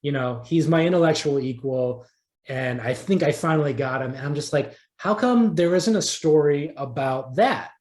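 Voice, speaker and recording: The sound is noticeably roomy, around a man with a fairly high speaking voice. He talks casually as an interview guest, rephrasing himself and working out his thoughts as he speaks. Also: normal speed, darkish tone. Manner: conversational, thinking aloud, rephrasing as he goes. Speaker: interviewed writer.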